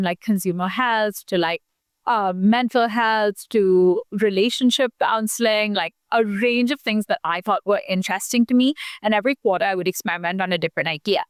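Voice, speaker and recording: A woman's voice: medium pitch, a little fast, clean tone, no room sound, clean studio sound. A young woman from India, a podcast guest, talking casually and chattily with many fillers. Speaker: podcast guest, founder and matchmaker. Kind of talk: casual interview talk.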